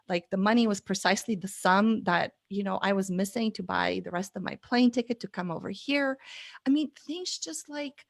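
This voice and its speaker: A woman's voice: mid-range voice, creaky, no room sound, clean studio sound. A woman, telling an earnest story as an interview guest over a video call, with many fillers. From Bulgaria. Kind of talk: casual interview chat.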